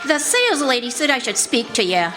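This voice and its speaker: A woman's voice: sits high, wildly swooping pitch, brisk. A woman playing a brash, weary city mother in a radio drama, blunt and slangy. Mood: impressed. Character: brash, weary, working-class city mother, baffled shopper. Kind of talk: live stage radio drama acting. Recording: echoey hall stage, faint music.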